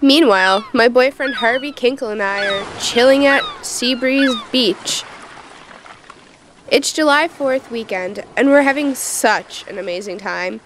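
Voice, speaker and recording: A woman's voice: fairly high pitch, fairly bright tone, a little hiss or hum. A woman playing a teenage girl patient in a radio drama, confiding her eerie nightmares in a colloquial, youthful way. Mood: dreamy, chatty. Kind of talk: first-person radio drama narration and dialogue.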